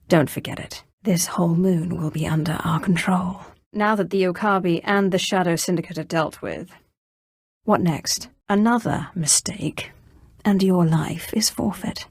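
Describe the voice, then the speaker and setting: An actress playing an imperious, menacing Sith sorceress risen from slavery in a video game, with a haughty, commanding delivery. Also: female voice, on the low side, gravelly, a little mellow, no echo, clean studio sound. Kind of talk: scripted game dialogue.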